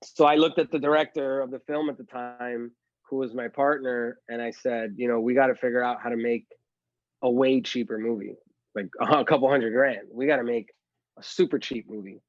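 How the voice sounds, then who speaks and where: A man's voice: medium pitch, steady tone, moderate pace, no room sound, highs cut off. A man, a filmmaker telling his story in a casual podcast interview and leaning on "like" and "you know". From Miami, Florida. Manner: chatty storytelling, heavy use of "like" and "you know". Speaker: guest filmmaker.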